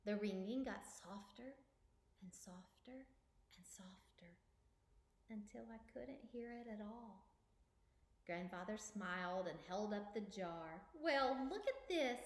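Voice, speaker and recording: A woman reading a children's story aloud as expressive narration, deliberate and with dramatic pauses. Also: medium pitch. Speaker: storybook reader. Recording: echoey room.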